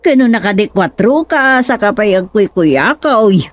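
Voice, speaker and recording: A woman's voice: medium pitch, lively intonation, normal speed, no room sound, lightly acted. A woman playing a skeptical, bickering ghost companion in an Ilocano radio comedy, exclaiming and teasing with an Ilocano accent.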